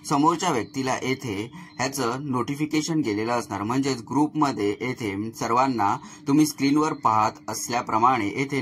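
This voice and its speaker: A man's voice: medium pitch, fairly bright tone. A man narrates a step-by-step tech tutorial as a channel host, explaining things to viewers as friends. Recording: clearly noisy voice-over recording.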